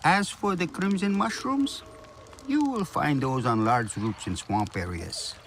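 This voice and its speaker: Wise old alien mentor, video game, gravelly, slow, deliberate, instructive. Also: male voice, on the high side, a bit bright, slight background noise. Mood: helpful. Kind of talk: video game character acting.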